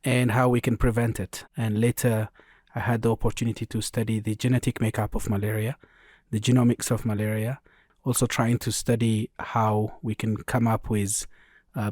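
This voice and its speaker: A man's voice: lowish voice, darkish tone, dry recording. Ethiopian man explaining, close dry sound. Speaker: genomics programme lead, interview guest. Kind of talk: explanatory podcast interview.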